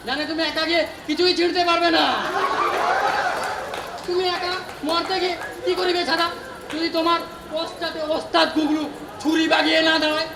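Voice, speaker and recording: A man playing a cynical, mocking ghost of a bearded holy man in a stage comedy, with sardonic repetitions and mock-archaic diction. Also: extremely high pitch. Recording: echoey noisy stage recording.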